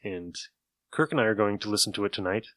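A man's voice: on the low side, fast talker, fairly bright tone, no room sound, studio quality. A man hosting a podcast, chatting casually as he introduces old radio shows. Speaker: podcast host.

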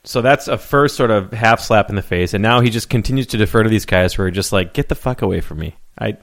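A man with a fairly low voice chatting on a sports podcast. He works through his questions out loud, circling back and asking the others to fill in the context. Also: fast talker. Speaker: podcast co-host. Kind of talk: casual sports chat.

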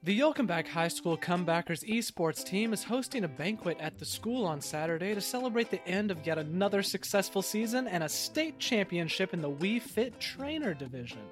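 A man's voice: sits high, lively intonation, soft background music. A man playing an earnest, grandiose small-town island mayor in an improvised audio comedy, speaking with mock-solemn sincerity. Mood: bright, announcing. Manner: halting, rambling, sincere, drawn-out words.

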